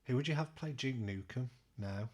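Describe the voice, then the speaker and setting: A man's voice: medium pitch, moderate pace. A man chatting casually as a podcast panellist. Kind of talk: casual chat.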